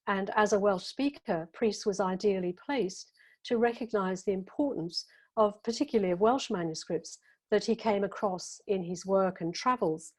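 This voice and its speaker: A woman giving a conference paper, reading her prepared text in a measured, careful way with the odd filler. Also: medium pitch, a little mellow. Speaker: academic conference speaker.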